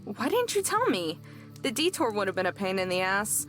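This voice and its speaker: Dry, teasing young woman, mid-pitched. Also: very animated, moderate pace, a bit bright, faint music behind. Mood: dry, casual. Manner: sardonic, casual. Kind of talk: visual novel voice acting.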